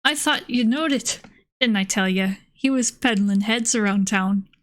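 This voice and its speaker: A woman's voice: on the high side, unhurried, close to an everyday voice. A woman voicing a male innkeeper from a novel, folksy, teasing and unbothered. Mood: amused, reassuring. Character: grinning, easygoing male innkeeper with folksy speech.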